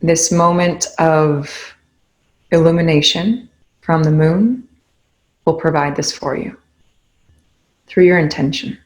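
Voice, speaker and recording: A woman leading a guided meditation, speaking in a calm, gently instructive way with unhurried spoken cues. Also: low voice.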